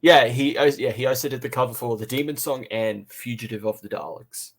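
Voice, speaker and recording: Casual man thinking aloud in halting phrases. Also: medium pitch. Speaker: podcast co-host and reviewer. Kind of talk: casual review chat.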